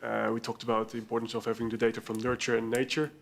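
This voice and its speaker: Flat-toned man moderating a panel. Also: mid-range voice, vocal fry. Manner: even, measured, sums up.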